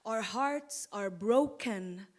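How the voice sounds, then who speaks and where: Slow, impassioned woman at a podium. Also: mid-range voice. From Lebanon. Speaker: award-winning poet. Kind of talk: award acceptance speech. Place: auditorium stage at a podium. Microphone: podium microphone.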